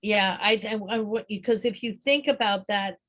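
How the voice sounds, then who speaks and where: A woman with a gravelly voice, a former voiceover actress, musing thoughtfully in a book club chat. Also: medium pitch, moderate pace, fairly bright tone. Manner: thoughtful, searching for words. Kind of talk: casual book and film chat.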